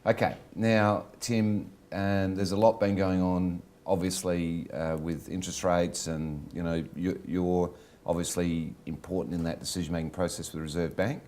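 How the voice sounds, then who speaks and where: A man's voice: low-pitched, flat delivery, normal speed, a little mellow. A man hosting a studio interview, asking friendly, chatty questions with plenty of fillers. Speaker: host. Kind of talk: casual interview talk. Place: radio studio.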